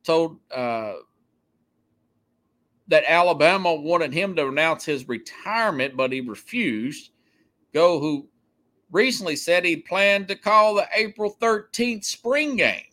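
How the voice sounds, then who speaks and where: A man hosting a sports podcast, giving opinionated, unscripted commentary in a halting, emphatic way that often circles back to repeat points. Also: on the high side, lively intonation, bright, forceful tone.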